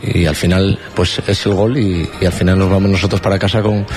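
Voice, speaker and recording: Spanish-man in sports interview, lowish voice, quick talker, slightly noisy clip. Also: level tone, darkish tone. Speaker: football figure interviewed. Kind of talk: post-match interview.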